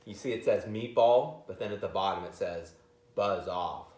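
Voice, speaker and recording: A middle-aged man reading a picture book aloud expressively, pausing to comment and ask his listeners questions, recorded in a school library. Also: lowish voice, warm tone. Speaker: school reader of picture books to children. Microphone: camera a few metres away. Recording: roomy library, camera at a distance.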